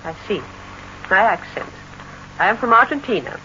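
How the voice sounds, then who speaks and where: A woman's voice: medium pitch, animated, normal speed, sharp tone. An actress playing a guarded woman with a hidden past, answering in short, reserved lines in an old radio play. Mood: alarmed. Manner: reserved, clipped replies. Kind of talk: 1940s radio acting. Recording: muffled old radio recording, faint hiss.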